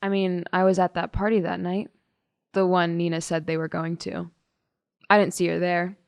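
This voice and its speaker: An actress playing a blunt, bitter high school girl giving guarded testimony in a naturalistic audio drama. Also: flat delivery, normal speed, vocal fry, smooth, no echo. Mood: defensive. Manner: guarded, curt, sardonic.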